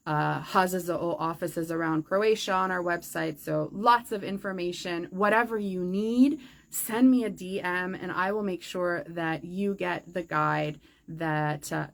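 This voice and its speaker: Chatty woman with a gravelly edge. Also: fairly low pitch. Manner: chatty, upbeat, frequent fillers. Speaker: host answering viewer questions. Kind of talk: casual chat to camera. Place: outdoor forest gazebo.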